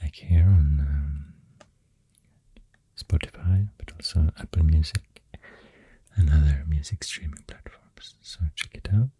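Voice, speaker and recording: A person with a Swedish accent speaking softly in ASMR style, mostly whispering, in a hushed, unhurried way with hesitant pauses, close to the microphone. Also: extremely low pitch, breathy edge, no echo. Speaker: ASMR video host. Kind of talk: soft-spoken ASMR, rambling.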